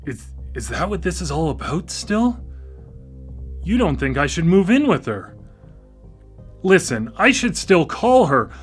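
A man's voice: a little high, big pitch swings, unhurried, long pauses, husky, faint music behind, exaggerated voice. A male actor in a radio play playing a dependent, easily rattled brother with a hesitant, emotional delivery. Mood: uneasy. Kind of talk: live radio play acting.

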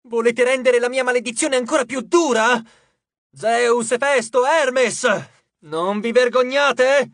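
Man voicing a furious, haughty teenage goddess, high-pitched and loud. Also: measured pace, no echo, clean studio sound, exaggerated voice. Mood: furious, indignant. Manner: indignant, imperious exclaiming. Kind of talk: audiobook character voice.

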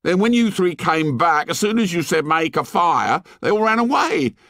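Really high whiny schoolboy, indignant and scolding, audiobook style, very dry sound. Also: male voice, lively intonation, slow pace, vocal fry, fairly bright tone, no room sound, full character voice. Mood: aggrieved protest. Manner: shrill scolding tirade in ungrammatical speech. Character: indignant, whining schoolboy, anxious bespectacled outsider.

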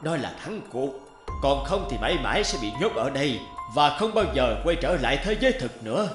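A man's voice: a little high, big pitch swings, brisk. An actor voicing a mysterious, commanding old man who runs a game, announcing in a formal, theatrical and menacing way for a cartoon. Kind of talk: animated cartoon dubbing. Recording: echoey voice over loud music.

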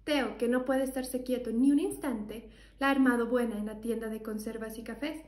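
A woman's voice: on the high side, fairly even intonation, normal speed, darkish tone. A young woman reading a children's storybook aloud as a teacher. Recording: echoey room.

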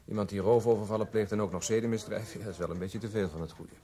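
A man's voice: fairly low pitch, racing, warm tone, lightly acted. Man als vlot pratende, norse rechercheur. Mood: matter-of-fact. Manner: terse, matter-of-fact. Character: brisk, grim male police investigator. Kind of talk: radio play dialogue.

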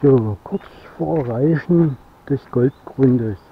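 A middle-aged man thinks aloud in a casual, unhurried vlog outdoors. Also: mid-range voice, narrow, tinny audio. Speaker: geocaching vlogger. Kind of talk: casual musing vlog narration. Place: outdoors on forest paths, later inside a parked van.

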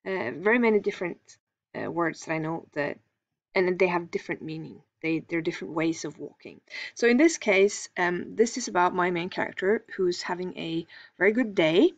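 Low-voiced Norwegian woman teaching. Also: moderate pace, highs cut off. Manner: calm, explanatory, thinking aloud with fillers. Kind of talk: screen-recorded lesson.

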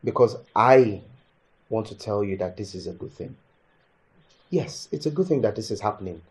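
An actor playing a frank, placating friend in a film drama, speaking informally with a Nigerian accent and a pleading, persuasive manner. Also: male voice, on the low side, lively intonation, moderate pace, a little mellow, close to an everyday voice. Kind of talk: naturalistic film drama acting.

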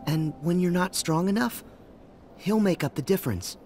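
A man's voice: sits high, expressive, moderate pace, darkish tone. A man playing a kind, eager teenage boy hero in a video game, speaking earnestly and wholeheartedly. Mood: gentle, sincere. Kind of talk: animated video game cutscene acting. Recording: music behind the voice.

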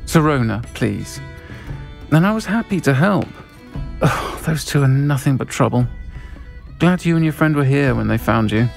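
A man's voice: medium pitch, animated, moderate pace, vocal fry, faint music behind. An actor voicing a friendly, middle-aged witch who keeps a tavern in a video game, speaking frankly and conversationally.

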